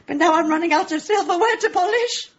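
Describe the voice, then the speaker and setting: An actress playing an anxious, kindly British vicar's wife in a radio comedy-drama, polite and on edge. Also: female voice, very high voice, fast, lo-fi sound. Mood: fretful, near tears.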